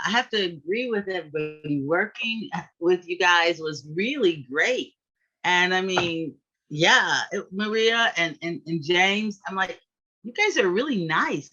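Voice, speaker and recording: A woman's voice: on the low side, pushed and bright, breathy edge. A woman author speaking casually over an online call before she reads. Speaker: author, guest reader. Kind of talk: casual remarks before a reading. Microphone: online video call. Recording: dull lo-fi call audio.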